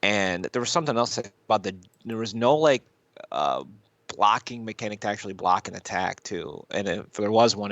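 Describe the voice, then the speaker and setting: A man co-hosting a casual podcast, giving opinions in a rambling, halting way with repeated phrases and loose swearing. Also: lowish voice, vocal fry, fairly bright tone, no room sound. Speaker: podcast co-host. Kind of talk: casual chat, reviewing YouTube videos.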